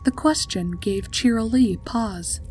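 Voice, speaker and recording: A woman's voice: fairly high pitch, slow pace, darkish tone. A woman reading a scripted story as its narrator. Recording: music behind the voice. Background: background music.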